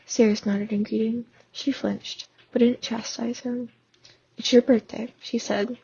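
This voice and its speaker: A woman with a sore, hoarse throat reading fanfiction aloud in a casual way, stumbling and restarting now and then. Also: a little high, flat delivery, measured pace, mellow, highs cut off. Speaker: fan audiobook reader.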